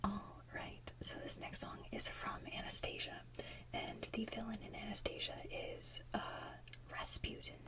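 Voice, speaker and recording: A woman doing casual ASMR chat in a breathy whisper, speaking in an informal, chatty way. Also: fairly high pitch, monotone, normal speed, a bit bright, far from the mic. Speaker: ASMR host.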